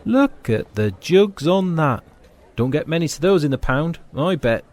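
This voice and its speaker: A man's voice: fairly high pitch, sing-song, measured pace. A man playing a loyal, laddish footballer mate in an audio drama, talking in colloquial, matey British English. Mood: cheeky. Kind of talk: full-cast sci-fi audio drama.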